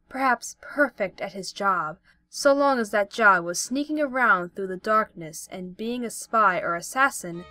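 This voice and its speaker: A woman's voice: mid-range voice, a bit bright. Woman narrating over music. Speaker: audio play narrator. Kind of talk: scripted storybook narration.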